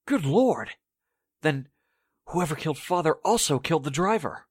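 An actor playing a defensive, earnest heir under questioning in a 1940s-style radio drama, guarded and hesitant. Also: male voice, fairly high pitch, animated, normal speed, slightly rough, dry recording, clean studio sound. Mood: shocked. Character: defensive male heir questioned about a murder.

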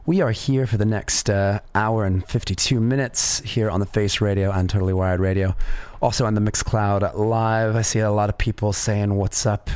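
A man with a raspy, fairly low voice presents a live radio music show. He talks in a loose, easygoing way, often pausing on fillers, and his voice is close and dry on a studio microphone. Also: level tone, darkish tone, dry recording. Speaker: radio DJ host.

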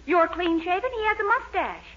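A woman playing a sweet, patient young sweetheart in a 1940s radio sitcom, with a gentle, indulgent manner. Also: extremely high pitch, expressive, fairly quick. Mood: insistent. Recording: noisy, muffled 1940s radio recording.

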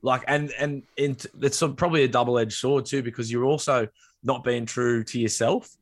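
A man's voice: medium pitch, steady tone, moderate pace, no room sound. A man co-hosting a podcast, agreeable and chiming in with crude jokes. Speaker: podcast co-host. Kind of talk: casual chat.

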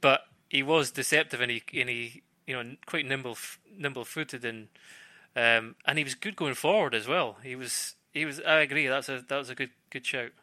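A man's voice: medium pitch, moderate pace, pushed and bright, no echo. A man giving casual, analytical football punditry, hesitating and repeating words as he thinks. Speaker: football pundit, former defender.

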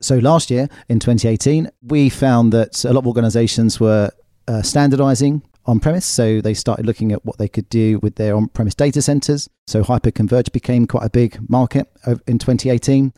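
A man speaking as a guest on a tech panel, explaining in a relaxed, matter-of-fact way. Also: mid-range voice, a little fast, few pauses, no echo, clean studio sound. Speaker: podcast guest, technologist. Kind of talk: casual panel chat.

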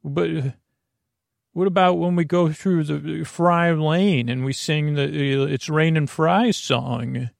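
A man acts out a naive mascot creature from a fast-food world, anxious and puzzled. The voice is creaky and a bit high, halting through worried questions in a close, dry podcast recording. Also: steady tone, no echo.

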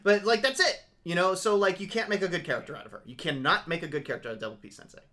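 A young man co-hosting a casual podcast, rambling through an animated critique with lots of fillers. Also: high voice, very fast. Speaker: podcast co-host. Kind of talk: casual anime review chat.

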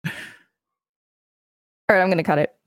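A woman's voice: fairly low pitch, fairly even intonation, moderate pace, no echo. A woman co-hosting a podcast, reading an encyclopedia article aloud and adding casual asides. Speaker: podcast co-host.

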